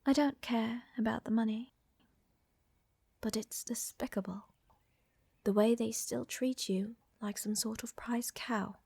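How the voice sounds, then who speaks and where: A woman plays an aristocratic vampire countess for an intimate ASMR roleplay. She speaks very slowly in a fairly high yet mellow voice, softly breathy and often near a whisper. Her manner is elegant, doting and teasing, like a protective lover soothing her beloved. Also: fairly even intonation, dry recording, full character voice. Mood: protective, reassuring. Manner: teasing, soothing, elegant formal phrasing with sighs.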